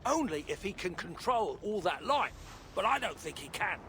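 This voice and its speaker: An actor playing a stubborn, eccentric old dwarf inventor in a video game, musing in folksy, rambling, colloquial speech. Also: male voice, high voice, very animated, normal speed, vocal fry, a bit bright, slight background noise, exaggerated voice. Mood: thoughtful, insistent. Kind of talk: dramatic video game acting.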